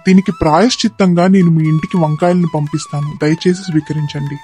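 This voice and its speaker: A man playing a stately, courteous king in an animated moral story, with a formal, measured manner. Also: on the high side, faint music behind, close to an everyday voice. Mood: apologetic.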